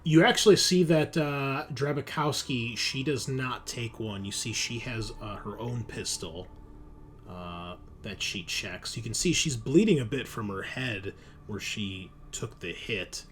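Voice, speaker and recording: A man narrating a tabletop roleplaying game as game master in a halting, informal, explanatory way. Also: medium pitch, fairly bright tone. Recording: clear background noise, room ambience.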